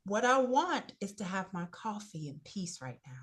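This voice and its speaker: Stern woman teacher with lively intonation acted by a woman. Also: mid-range voice, normal speed. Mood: composed, cutting. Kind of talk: sitcom table read.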